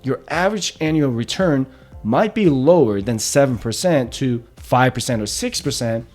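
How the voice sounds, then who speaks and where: A man in his thirties talks through the figures in a steady explainer tone. His pitch sits right in the ordinary middle range for a man. Soft music plays faintly underneath. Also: moderate pace. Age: 30s. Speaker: personal finance channel host. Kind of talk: explainer walking through retirement numbers.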